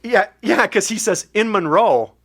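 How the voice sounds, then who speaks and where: Animated, rambling podcast host man. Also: high-pitched. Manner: rambling, quick, animated storytelling. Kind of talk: casual comedic banter. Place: basement studio.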